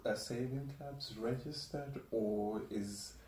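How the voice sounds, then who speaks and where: A man's voice: medium pitch, steady tone, slow pace, a little mellow. Upbeat male talk-show host, roomy sound. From Africa. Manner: upbeat, chatty, laughs often. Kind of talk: casual interview hosting. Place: room at a wooden table. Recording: roomy, slightly distant mic.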